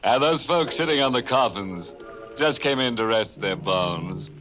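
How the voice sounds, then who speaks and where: An actor playing a ghoulish, sardonic horror host, theatrical and darkly humorous in 1940s radio style. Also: male voice, mid-range voice, animated, a little slow, a bit bright, lo-fi sound. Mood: mock welcoming. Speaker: host and narrator. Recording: band-limited old radio with organ music.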